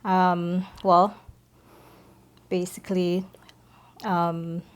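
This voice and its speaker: A woman from the Philippines, middle-aged, sharing a personal faith testimony as a podcast guest, speaking hesitantly with many pauses. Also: on the low side, level tone, clean tone.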